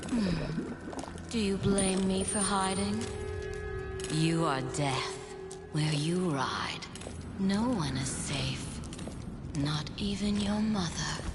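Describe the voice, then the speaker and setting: A woman's voice: fairly low pitch, slow, pauses often, over loud music. A woman playing a seductive, scheming demon mother in a fantasy game, with silky, theatrical, coaxing delivery. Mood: coy. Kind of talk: cinematic fantasy game acting.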